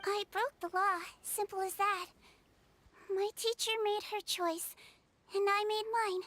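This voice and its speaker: A woman's voice: very high-pitched, steady tone, a little slow, a bit bright, dry recording. An actress voicing a small, cute, childlike female creature in a video game, with an earnest, sweet manner. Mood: calm, gentle.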